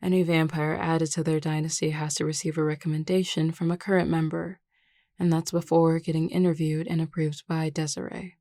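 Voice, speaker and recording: A woman playing a confident, reassuring werewolf pack beta in a scripted audio roleplay, speaking in a measured, explanatory way. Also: low voice, level tone, dark tone, dry recording, clean studio sound. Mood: composed, explanatory.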